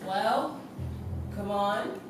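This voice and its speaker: A woman's voice: medium pitch, extremely slow. A woman acting a sardonic, proud cyborg outcast who teases scornfully in a stage play. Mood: scornful, teasing. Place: theater stage. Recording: echoey theater, distant mic, music behind.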